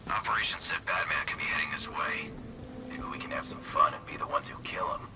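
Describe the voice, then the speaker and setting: An actor playing a cocky, grumbling mercenary soldier chatting on guard duty in a video game. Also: male voice, extremely low pitch, brisk, background noise. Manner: casual, grumbling. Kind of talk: video game background dialogue.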